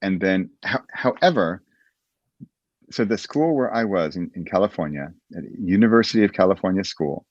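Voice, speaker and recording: A very low-voiced American man talks through his past in a loose, rambling way, often restarting his sentences. His recording sounds dull and dry, with no room around it. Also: lively intonation, moderate pace, no room sound. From United States. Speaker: guest. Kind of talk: casual interview chat.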